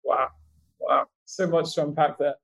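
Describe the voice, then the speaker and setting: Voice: mid-range voice, fairly even intonation, measured pace, no room sound. Upbeat podcast host, dry close voice. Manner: upbeat, warm interjections of wow and mm. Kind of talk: scripted intro, then interview questions.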